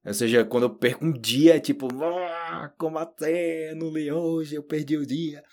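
A man from Brazil with a Brazilian Portuguese accent, giving a casual spoken lesson and slowly repeating phrases to demonstrate. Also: a little high, steady tone, a little mellow. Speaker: Portuguese teacher.

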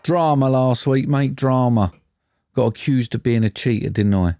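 A man's voice: mid-range voice, moderate pace, dark tone, no room sound, faint music behind. A young man co-hosting a podcast, teasing his partner in dry banter. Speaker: podcast co-host. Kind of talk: casual chat.

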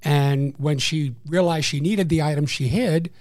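A man with a New York accent, speaking as a guest in a measured and informative way with a slightly raised pitch. He lays out services step by step and restarts a phrase now and then. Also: darkish tone. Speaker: program coordinator. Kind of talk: guest interview, explaining services.

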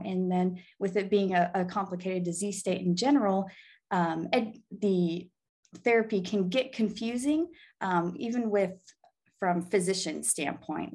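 A woman's voice: fairly low pitch, darkish tone. A woman in her twenties with an American accent, giving upbeat, earnest conversational answers as an interview guest. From Arkansas, United States. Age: 20s. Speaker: guest, pharmacy student and pageant titleholder.

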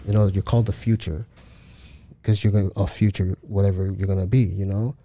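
A man's voice: low voice, little pitch movement, a little fast, dark tone. A man from Chicago, a guest talking casually in a streetwise way, swearing heavily and using lots of slang. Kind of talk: casual interview talk.

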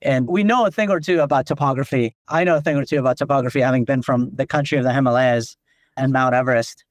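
A man's voice: medium pitch, fast, no room sound. A man from Nepal, a company founder, explaining things in a podcast interview with lots of "like" fillers. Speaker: company founder and CEO, guest.

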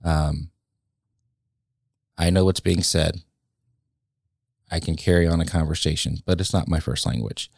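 A man in his late thirties talks in an extremely low, gravelly voice. He is a guest in a casual conversation, thinking aloud with frequent ums and self-corrections. The room sounds dry and close. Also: fairly even intonation, a little mellow, no room sound. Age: late 30s. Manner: reflective, halting, many ums and restarts.

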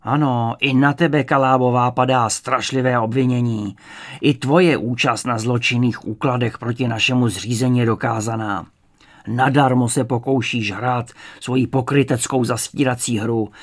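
A man playing a fanatical, bullying secret police interrogator in a story, haranguing in loud slogans. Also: medium pitch, lively intonation, lightly acted. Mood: menacing accusation.

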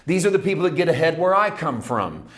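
A man preaching a sermon, building with repeated phrases and dropping to hushed, intimate passages. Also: fairly high pitch, big pitch swings, quick pace. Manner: emphatic, repetitive preaching cadence, swings from loud to hushed. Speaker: pastor.

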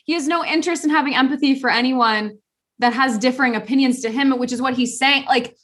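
A woman's voice: sits high, fast. A young woman co-hosting a casual podcast chat, telling a long story with lots of restarts and fillers. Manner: rambling, animated storytelling with many restarts. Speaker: podcast co-host.

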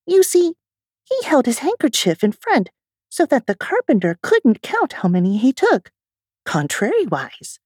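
A woman voicing an excitable, contrary, boastful round little man in a storybook, emphatic and triumphant. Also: high voice, a little slow, husky, no echo, clean studio sound, pitched above the performer's own voice, full character voice. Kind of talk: storybook character reading.

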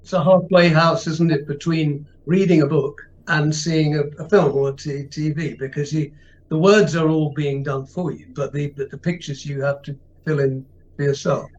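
A man's voice: a little high, fairly even intonation, moderate pace. Earnest man on a dull-sounding line. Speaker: actor. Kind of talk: podcast interview answer.

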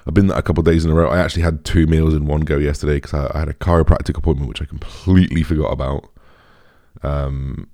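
A young British man with a deep, raspy voice, chatting casually as a podcast host in a rambling, informal way. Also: flat delivery, fast talker, dark tone, no echo, clean studio sound. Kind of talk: casual solo podcast chat.

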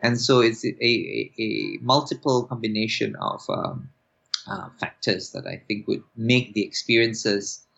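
A man in his forties from Singapore, an applied linguist answering interview questions in a measured way with many fillers and restarts. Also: lowish voice, expressive, gravelly, fairly bright tone, husky. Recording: dull lo-fi sound.

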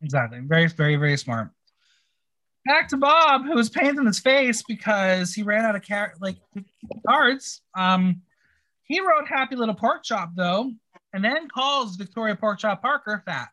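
Guy hosting a recap podcast, mid to high-ish voice, dry, repeats words for emphasis. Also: big pitch swings, normal speed, bright, forceful tone, poor audio. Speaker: podcast host recapping a TV episode. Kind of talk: casual recap commentary.

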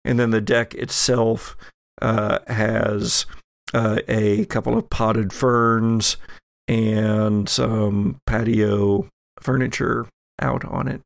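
A man narrating improvised scenes as game master, in a measured, descriptive way with pauses. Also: on the low side, little pitch movement, creaky, dry recording. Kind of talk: improvised scene narration for actual-play podcast.

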